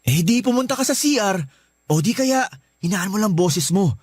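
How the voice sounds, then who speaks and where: A man's voice: sits high, animated, moderate pace, darkish tone, breathy edge, no echo. An actor playing a lovesick man in a radio drama, coaxing and pleading with his married lover. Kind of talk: Filipino radio drama acting.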